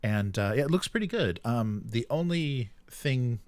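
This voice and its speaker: A man co-hosting a tabletop gaming show, explaining news in a casual, halting way. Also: mid-range voice, animated, no room sound.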